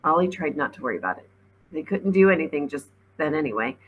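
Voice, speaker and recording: A woman's voice: low-pitched, expressive, fast, phone-quality sound, a little hiss or hum. A woman, a teacher, reading a children's novel aloud as narrator. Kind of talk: storybook read-aloud narration.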